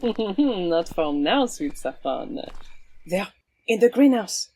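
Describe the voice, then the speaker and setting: A woman's voice: mid-range voice, very animated, slow pace, dark tone, slight background noise. A woman playing a cool, wry swashbuckling vigilante with a sly, teasing, theatrical manner in an audio drama.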